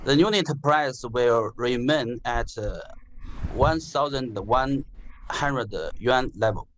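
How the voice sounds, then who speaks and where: A man from China giving his part of a class group presentation over a video call, halting with many fillers and repeated words. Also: mid-range voice, fairly bright tone, slight background noise, poor audio. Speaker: student presenter.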